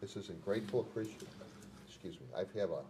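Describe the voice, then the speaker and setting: A man's voice: lowish voice, fast talker, dark tone. An older American man chairing a village board meeting, switching between procedure and casual remarks, nasal from a head cold. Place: municipal board meeting hall. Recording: noisy public meeting room microphone.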